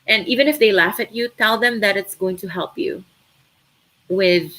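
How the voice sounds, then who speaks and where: A woman's voice: mid-range voice, normal speed, low-quality recording. A young Filipina woman with a Filipino accent, native in Tagalog, chatting casually as she answers viewers' questions on a live stream about an online course. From Philippines. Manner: chatty, animated, uses many fillers.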